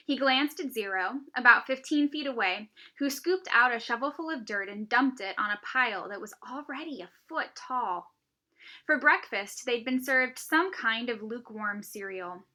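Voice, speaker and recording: A woman teacher reading a children's novel aloud, with expressive, emphatic phrasing and dramatic pauses. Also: a little high.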